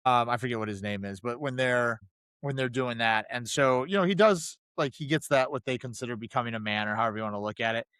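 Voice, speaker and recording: A man's voice: medium pitch, fast talker, fairly bright tone, clear, resonant tone, dry recording. Mid-voiced man, hesitant casual podcast talk. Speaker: podcast host. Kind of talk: casual film discussion.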